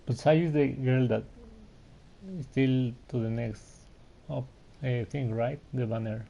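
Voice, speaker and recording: A man's voice: mid-range voice, steady tone, measured pace, gravelly, mellow, dull audio, a little hiss or hum. A man giving casual gameplay commentary as a game streamer. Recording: game music behind the voice. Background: game music.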